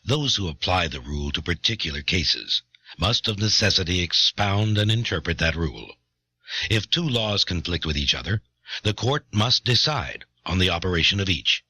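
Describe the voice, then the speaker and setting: Authoritative old-time judge, deep raspy voice, forceful and animated declamation, grave and stately, historical audiobook. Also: male voice, normal speed, no room sound, exaggerated voice. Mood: stern, resolute. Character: grave, authoritative male chief justice of early America. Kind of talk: dramatic reading of a historic court opinion.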